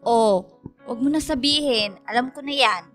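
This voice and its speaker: A woman's voice: a little high, moderate pace, fairly bright tone, soft background music, lightly acted. A woman acting a protective, teasing young best friend with a gossipy manner in a radio drama. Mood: exasperated teasing.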